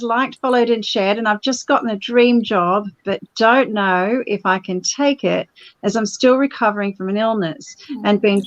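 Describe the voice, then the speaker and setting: A woman's voice: mid-range voice, no room sound, dull audio. A woman hosting a live psychic call-in show, effusive, reading out viewer messages and passing them to readers. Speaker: show host.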